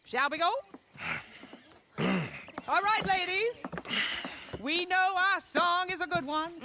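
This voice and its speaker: A woman's voice: very high-pitched, expressive, takes it slowly, vocal fry, background noise, heavily acted. An actress playing a proud, defiant older woman leading a suffrage rally, speaking in a stately, speechmaking manner. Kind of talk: video game cutscene acting.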